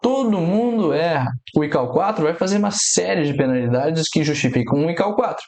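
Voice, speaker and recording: A Brazilian man, an aviation English teacher, explaining exam mistakes in a steady instructional way. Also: fairly high pitch. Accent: Brazilian Portuguese, no fixed regional accent. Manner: explains steadily, quotes radio phrases slowly and deliberately.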